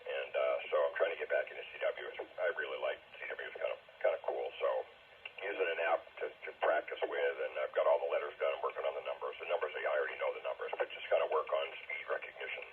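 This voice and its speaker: A man's voice: very deep voice, steady tone, fast talker, slight background noise. A man in his sixties chatting casually about his hobby over a narrow, muffled radio link. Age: 60s. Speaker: amateur radio operator.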